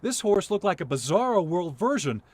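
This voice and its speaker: A man's voice: high-pitched, big pitch swings, normal speed. A man narrating a scripted TV news feature as its reporter, telling it in a playful, dramatic way.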